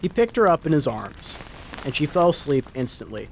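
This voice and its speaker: A man's voice: fairly high pitch, big pitch swings, normal speed, a little hiss or hum, rough recording. A man reading a scripted story as a dramatic narrator. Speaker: narrator, reader. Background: story sound effects.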